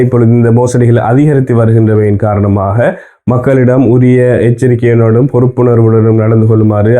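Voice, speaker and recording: A man's voice: medium pitch, mellow. A man with a Sri Lankan Tamil accent presenting a scripted news explainer in a formal, methodical way.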